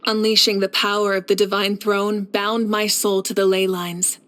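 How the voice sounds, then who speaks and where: A woman playing a confident, warm young warrior leader in a video game, speaking in a poised, measured, earnest way. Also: medium pitch, level tone, lightly acted. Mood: solemn, reflective. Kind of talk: scripted video game character voice lines.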